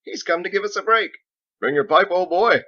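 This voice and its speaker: A man's voice: sits high, a little fast, highs cut off, voice pushed up. A man voicing cheerful, rowdy masons who tease and call out in an audiobook. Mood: rowdy teasing. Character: rowdy, teasing male masons calling out cheerfully. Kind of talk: audiobook character dialogue.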